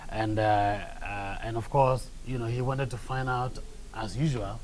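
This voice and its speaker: A young Ghanaian man speaks at an ordinary male pitch, choosing his words slowly with plenty of "uh" and "you know." Faint background noise runs under his voice. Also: steady tone, rough recording. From northern Ghana. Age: young adult. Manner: measured, hesitant, many fillers and a soft laugh. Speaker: guest, documentary filmmaker. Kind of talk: interview conversation.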